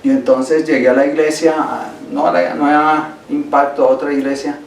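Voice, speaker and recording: A man's voice: on the high side, fairly even intonation, moderate pace, creaky, soft background music. A male pastor preaching a Sunday sermon in a church, emphatic and repeating key phrases for stress. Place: church sanctuary. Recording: echoey hall, voice from far off.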